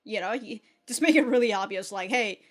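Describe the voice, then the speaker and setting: A woman's voice: medium pitch, fairly quick. A woman giving casual, sweary, giggly reaction commentary as she hosts a reaction video. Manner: giggly, often breaking into laughter.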